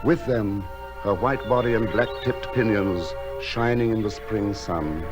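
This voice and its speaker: A man acting as a lonely bird-keeping recluse with a low voice and a gentle, measured delivery. He speaks in literary radio-drama style, with music playing behind him. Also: natural voice. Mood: wistful, reflective. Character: lonely, gentle, misshapen male recluse who tends wild birds. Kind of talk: radio drama acting and first-person narration.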